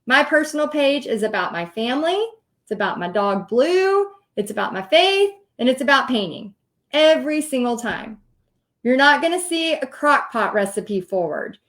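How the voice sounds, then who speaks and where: Chatty Texan woman, high voice. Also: very animated, moderate pace, fairly bright tone. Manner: chatty, direct, laughs often, addresses viewers. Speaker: host teaching paint party business. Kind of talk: casual livestream coaching talk.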